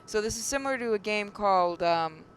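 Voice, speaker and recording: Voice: expressive, brisk, a bit bright. A person reading visual novel narration and commenting casually as a gaming host, now and then stumbling over words. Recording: clearly noisy mic with low rumble.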